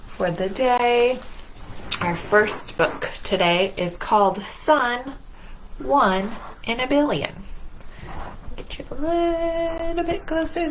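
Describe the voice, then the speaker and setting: A woman leads a storytime for young children as its host. She speaks slowly in a high, very lively voice, with noise around her. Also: poor audio. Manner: addresses young listeners as friends.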